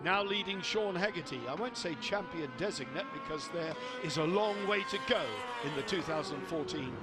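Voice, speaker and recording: A man giving live motorsport commentary, excitable and quick to lift his voice at each incident on track. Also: fairly high pitch. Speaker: race commentator. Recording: loud engine noise behind. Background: racing engines.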